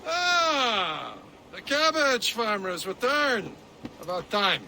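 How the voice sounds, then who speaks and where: An actor playing a haughty, scornful seafaring schemer with a French accent, animated and insulting, in a game cutscene. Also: male voice, extremely high pitch, slow, gravelly, a little hiss or hum, heavily acted. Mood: scornful, boastful.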